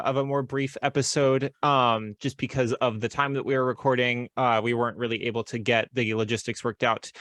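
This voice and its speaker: A young man hosting a casual podcast, relaxed and chatty with lots of filler words. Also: mid-range voice, dry recording, studio quality. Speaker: podcast host.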